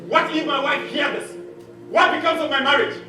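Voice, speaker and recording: A stern, blustering Prime Minister, acted by a man in a stage play. He booms commands and accusations in a high, strained pitch, echoing from far off in a hall. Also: a little fast, pushed and bright, full character voice. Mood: outraged. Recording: echoey hall, distant mic, music.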